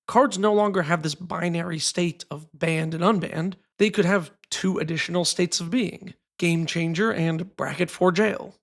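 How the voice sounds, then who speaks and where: A man with a sick, raspy voice, giving a scripted, casually opinionated talk about a card game. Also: sits high. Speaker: card game content creator. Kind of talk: scripted video essay.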